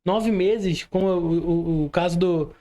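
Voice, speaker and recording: Brazilian guy hosting a podcast, pretty high voice, casual chatty interview style. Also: slow, mellow. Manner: colloquial, frequent check-in tags. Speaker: podcast host.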